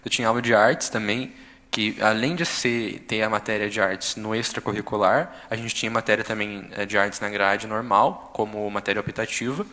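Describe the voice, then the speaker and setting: A young man with a Brazilian accent and a fairly low voice speaks informally, feeling his way through an account. His voice rings with long reverb in a big room. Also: fairly even intonation, moderate pace, pushed and bright. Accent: Brazilian Portuguese. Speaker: exchange student giving a testimony. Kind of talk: informal spoken account at a public session. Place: council chamber. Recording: echoey hall with long reverb.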